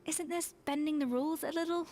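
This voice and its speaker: A woman playing a calm, dry former head chef. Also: high-pitched, fairly quick, close to an everyday voice. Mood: composed. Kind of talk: game voice acting.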